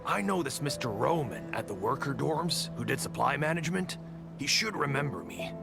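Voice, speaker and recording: A man voice-acting a passionate, principled survivor in a video game, with a gravelly voice over music. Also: medium pitch, lively intonation, moderate pace. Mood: thoughtful, pleading. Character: passionate, principled male survivor rallying his comrades. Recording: music behind the voice.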